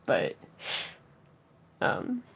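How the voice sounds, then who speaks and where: A woman chatting casually about devices on a live voice call, full of fillers and quick laughs. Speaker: jailbreak developer on a stream. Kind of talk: casual tech chat.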